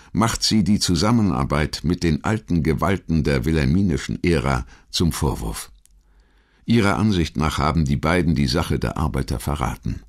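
A man reading a scripted historical documentary narration in a measured, dramatic way. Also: a bass voice.

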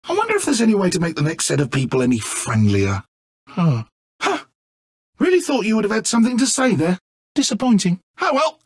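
A man's voice: sits high, breathy edge, no room sound, studio quality, full character voice. An actor playing a petulant, needy, godlike male villain in a video game, with a British accent and a theatrical, sarcastic, stammering manner. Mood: mocking, airy. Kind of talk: video game character acting.

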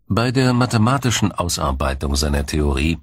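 A man reading a scripted science documentary in a measured, formal, explanatory manner. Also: low voice, sing-song, slightly rough, dry recording, studio quality. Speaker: documentary narrator.

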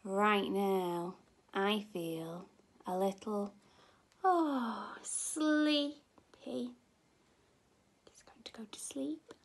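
Young female nursery teacher for story time: gentle, expressive delivery to little ones, very unhurried, with noticeable vocal fry. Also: mid-range voice. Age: young adult. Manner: expressive, gently addressing small children. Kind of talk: children's story reading. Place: indoor room at home.

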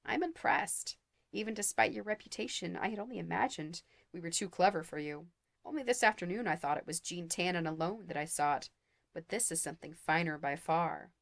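A gloating male sorcerer, cruel and unhurried, as a woman reads him aloud. He speaks slowly in a low, gravelly register with a menacing calm. The sound is close and dry. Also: dry recording. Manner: slow, silky, menacing.

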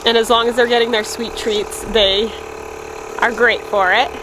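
A woman's voice: on the high side, fairly even intonation, normal speed, noisy background. A young woman casually narrating a farm vlog, chatty with little laughs, filmed outdoors on a phone held at arm's length. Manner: chatty, light laughs, baby-talks to her dogs. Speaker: farm vlogger.

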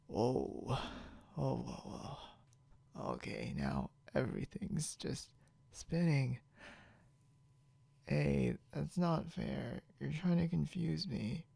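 Man acting a very creaky, slow, tipsy young guy. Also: mid-range voice, big pitch swings, mellow, no room sound, heavily acted. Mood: confused, woozy. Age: young adult. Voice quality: gravelly, creaky. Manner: halting, rambling, mumbled drunk speech with repeated words. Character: tipsy, stubborn, boastful, confused young man. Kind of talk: ASMR roleplay.

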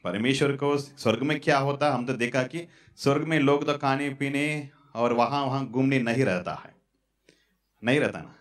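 A man in his thirties preaching a sermon on a church stage into a handheld mic, halting and repetitive. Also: medium pitch. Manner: halting, repetitive, fillers and restarts. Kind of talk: sermon with prayer. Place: church stage in front of curtains.

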